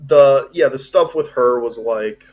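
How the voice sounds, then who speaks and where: A man talking casually as a host reviewing a film, rambling and halting, with a loose, sweary way of speaking. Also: medium pitch, a little mellow. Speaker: movie review show host. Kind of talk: casual chat, film discussion.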